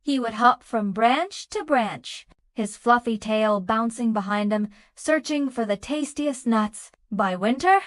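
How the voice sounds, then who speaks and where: A woman's voice: a little high, measured pace, few pauses, no echo. A woman reading scripted bedtime stories for children as a storyteller and host.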